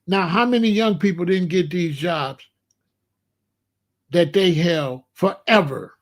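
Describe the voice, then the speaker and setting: A man's voice: sits high, takes it slowly. Blunt confrontational man ranting to his chat. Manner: blunt, repetitive, confrontational, calls out a chatter. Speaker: host of a live commentary stream. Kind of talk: unscripted live-stream commentary, talking to chat.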